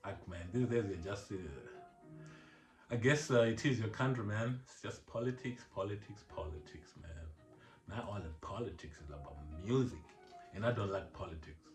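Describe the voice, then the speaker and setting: A man's voice: on the low side, moderate pace, reverberant. A man from Zimbabwe hosting his own music vlog, chatting casually and rambling, with frequent laughs and lots of "man". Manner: laid-back, rambling, laughs often, says "man" a lot. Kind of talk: casual chat.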